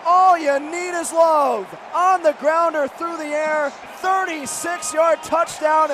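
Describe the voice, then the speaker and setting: A man calling live football play-by-play for radio, an excitable announcer given to shouting on big plays. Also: very high voice, slow, crowd noise. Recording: live stadium broadcast with faint noise.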